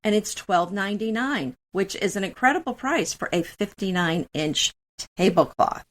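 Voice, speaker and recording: A woman's voice: mid-range voice, lively intonation, normal speed. A woman co-hosting a casual home decor chat, describing finds in a friendly, conversational way. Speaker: co-host.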